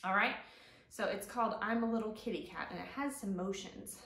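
A woman in her thirties hosting storytime for young children, presenting and reading aloud expressively in simple, drawn-out phrasing. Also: medium pitch. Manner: expressive, simple child-directed delivery with drawn-out key words. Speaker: children's librarian, storytime host. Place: living room at home, indoors. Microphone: camera a few feet away. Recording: roomy sound from camera mic.

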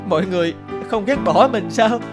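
A man voicing an earnest, soft-spoken young character in a cartoon dub. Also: high-pitched, brisk, natural voice. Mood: sad, insecure. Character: gloomy personified Night, and polite young prince. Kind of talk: Vietnamese cartoon dubbing. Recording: loud music behind the voice.

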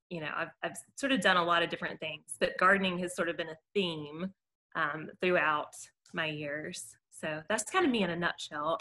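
Woman, American accent, bright and forceful voice, presenting informally on a video call as a garden caretaker. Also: fairly low pitch. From Mobile, Alabama, United States.

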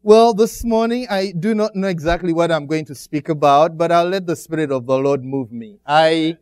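Clear-voiced Ugandan man preaching. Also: on the high side, normal speed, darkish tone, no room sound, studio quality. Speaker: guest pastor preaching. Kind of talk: church greeting and sermon. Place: speaking to a congregation in a church.

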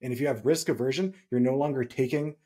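A man in his thirties with a slightly husky voice, co-hosting a podcast and explaining points emphatically, repeating key phrases for stress. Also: mid-range voice, fast talker, mellow. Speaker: podcast co-host. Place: small home room, indoors.

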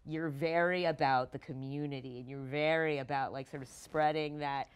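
A woman in her thirties talking casually as a guest on a gaming discussion show, rambling and animated, often saying "like" and "you know". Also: sits low, normal speed, clear, resonant tone. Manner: rambling, animated, peppered with 'like' and 'you know'. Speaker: tabletop game player, guest on a discussion show. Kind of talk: casual chat. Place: TV-style studio set.

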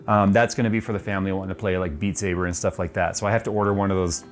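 A man's voice: sits low, level tone, very fast. A man in his forties giving a casual tour of his home game room, chatty and loose with plenty of ums, on a lapel mic. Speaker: tech YouTuber.

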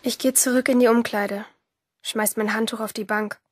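High-voiced defiant teenage girl. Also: fairly even intonation, moderate pace, slightly rough, no room sound, clean studio sound. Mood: conspiratorial narrating. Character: rebellious, jealous teenage girl swimmer, defiant and obsessive. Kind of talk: first-person audio drama narration and dialogue in a crime radio play. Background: music behind the voice.

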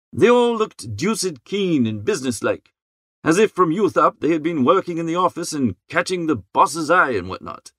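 Animated, high-pitched man narrating a comic story. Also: no echo, studio quality. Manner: chatty, wry upper-class idler's phrasing. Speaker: audiobook narrator. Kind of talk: scripted first-person comic story narration.

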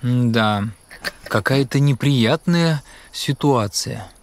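A man voicing a kind, calm cat doctor in a children's cartoon, speaking gently and with measured concern. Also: mid-range voice, dry recording. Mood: puzzled.

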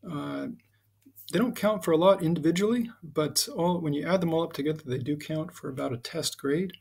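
A middle-aged American man, a high school teacher, giving an informal, halting, explanatory introduction to his class over slides. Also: gravelly, darkish tone, breathy edge. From United States (Connecticut and Southern California).

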